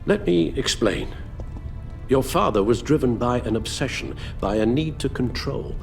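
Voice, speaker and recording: An actor playing an elderly British butler in a video game, formal and measured, weary and full of sorrow. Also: male voice, medium pitch, expressive, creaky, faint music behind. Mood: grave pleading. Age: older. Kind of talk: dramatic video game acting.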